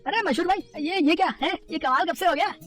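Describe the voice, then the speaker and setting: An actor playing an eager, chatty, good-hearted young male customer in a cartoon moral story, with an excitable manner. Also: extremely high pitch, brisk, faint music behind. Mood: friendly. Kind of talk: animated Hindi moral story dialogue.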